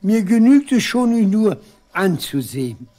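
An actor playing a wistful, frail old father in a 1970s East German radio play, speaking haltingly and repeating himself. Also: high-pitched, very animated, mellow, heavily acted. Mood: indignant, hurt.

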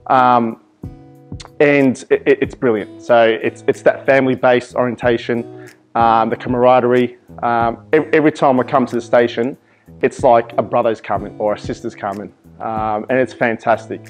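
A man's voice: medium pitch, fairly even intonation, normal speed, soft background music. A young man from Iraq whose first language is Arabic, a volunteer firefighter answering interview questions earnestly, with many ums and restarts, in a fire station office.

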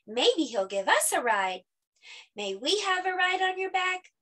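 A woman voicing excited, playful young children in a storybook, exclamatory and singsong. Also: extremely high pitch, measured pace, voice pushed up, exaggerated voice.

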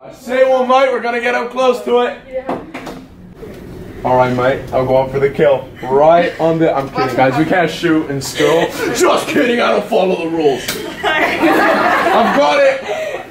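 A man playing a brash, bumbling male wildlife poacher in an improvised comedy skit, excitable and tacking "mate" onto everything. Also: high-pitched, a little slow, background noise, full character voice. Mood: boastful. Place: school building, indoors. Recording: echoey halls with crowd chatter. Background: students chattering.

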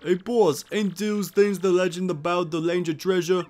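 A man's voice: high-pitched, fairly even intonation, moderate pace, darkish tone, close to an everyday voice. A man voicing a brash, dim gangster henchman in a video game, using mobster slang. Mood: brash, jeering. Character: dim, eager male gangster henchman cartoon creature. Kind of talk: video game character dub.

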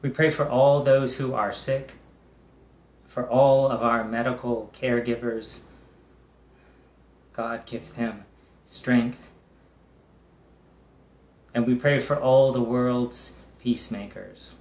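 Slow-speaking male pastor in an echoey room. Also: medium pitch, fairly even intonation, a little mellow. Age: middle-aged. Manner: deliberate, with short phrases and long pauses. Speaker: pastor leading worship. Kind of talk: pastoral prayer, measured and reverent. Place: indoors, small room with bare wall.

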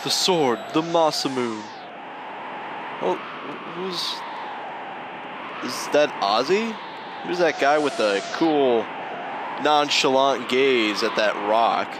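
A man's voice: medium pitch, takes it slowly, long pauses, background noise. A man giving joking, rambling commentary while playing a video game. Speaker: let's play host. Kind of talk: casual gaming commentary. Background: game music.

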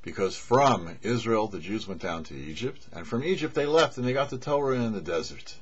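A middle-aged man, a rabbi giving a short religious teaching in measured phrases, mixing in Hebrew terms. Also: lowish voice, lively intonation, husky. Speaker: rabbi, host of a weekly Torah show. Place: indoors before a cloth backdrop. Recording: dull, muffled lo-fi audio.

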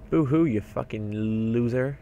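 A young man with a croaky voice, rambling and swearing casually while narrating his gameplay. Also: mid-range voice, dark tone, a little hiss or hum. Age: young adult. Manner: rambling, swears often, mutters to himself. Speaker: game video maker.

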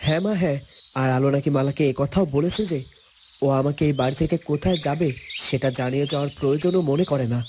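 A resentful young cartoon husband , voiced by a man. He speaks quickly and emphatically in a fairly high, wounded voice. Birdsong is clearly audible behind him. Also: noisy background, lightly acted. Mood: hurt, resolute. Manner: emphatic, aggrieved. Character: hurt, resentful young husband and dutiful son. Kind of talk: cartoon family melodrama.

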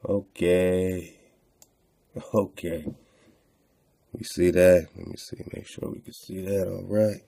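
Very deep-voiced man, gravelly, rambling casually. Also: flat delivery, slow pace, pauses often, mellow. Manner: rambling, repeats phrases, slangy "man" and "you know". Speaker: host of an online show. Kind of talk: casual unscripted commentary while browsing on screen.

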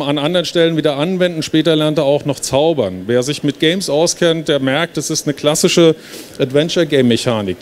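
A man's voice: on the high side, a little mellow. A middle-aged man giving an informal, explanatory stage presentation into a handheld microphone. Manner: explanatory, conversational. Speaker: game developer presenting. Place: stage at a busy trade fair hall. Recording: noisy hall, filmed from audience.

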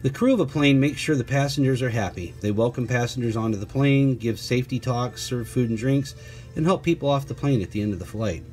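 Male teacher for picture book read-aloud, mid-pitched, breaking off for conversational asides and questions to kids. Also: a little fast, darkish tone, soft background music. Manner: prompts listeners with questions and asides. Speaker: teacher reading aloud to children.

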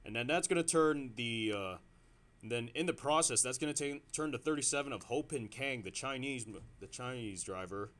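A man giving play-by-play commentary on a simulated stock car race, getting excited at close moments and restarting sentences with fillers. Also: mid-range voice, moderate pace. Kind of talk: amateur sim racing play-by-play commentary.

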